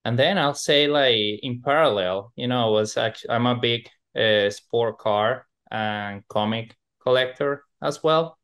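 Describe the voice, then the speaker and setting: A man's voice: mid-range voice, a little slow, hardly any pauses, fairly bright tone, clear, resonant tone. A man from Venezuela, a podcast guest who rambles with lots of fillers and restarts. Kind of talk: casual podcast interview.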